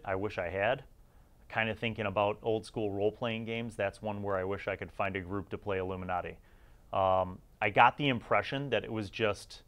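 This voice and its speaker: Casual, low-voiced middle-aged man. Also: a bit bright. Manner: opinionated, conversational, informal. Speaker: host of a retro gaming review series. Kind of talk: casual review commentary.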